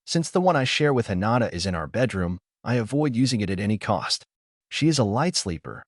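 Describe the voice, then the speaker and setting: A man's voice: medium pitch, no room sound, clean studio sound. A man reading a fan fiction story aloud in the first person, earnest and reflective. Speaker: story narrator.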